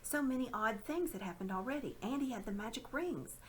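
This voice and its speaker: A woman reading a children's story aloud with dramatic, expressive narration. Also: a little high, a little fast, slight background noise. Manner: dramatic, animated. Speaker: storybook reader.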